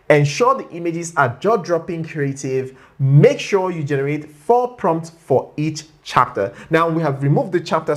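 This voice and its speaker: A tutorial host talking viewers through steps on screen in a chatty, playful way, often checking in with a quick okay or right. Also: mid-range voice. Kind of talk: screen-share tutorial narration.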